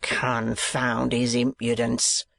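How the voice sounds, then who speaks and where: A man voicing a cruel, blustering middle-aged schoolmaster who sneers and bullies in a slow, menacing way. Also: medium pitch, monotone, gravelly, a bit bright. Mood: angry. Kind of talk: audiobook character voice.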